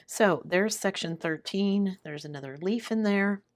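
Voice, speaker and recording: A woman chatting casually and murmuring to herself as she does a craft, her hoarse voice giving out from sickness. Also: unhurried. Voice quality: hoarse, low voice. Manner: murmuring to herself while working. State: sick, voice giving out. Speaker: craft video host. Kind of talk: casual chat while doing a craft.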